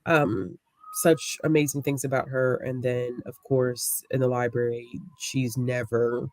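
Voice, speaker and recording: A woman's voice: rumbling low, level tone, a little slow, darkish tone, slight background noise, low-quality recording. A woman speaking casually as a guest on an online group discussion. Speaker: panel guest on a book live show. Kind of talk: casual chat.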